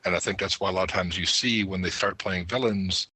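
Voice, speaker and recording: A man with a very deep, rough-edged voice discusses things casually as a panelist. He explains and argues with small asides, in a forceful, cutting tone. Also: steady tone, a little fast, dry recording. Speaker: podcast panelist.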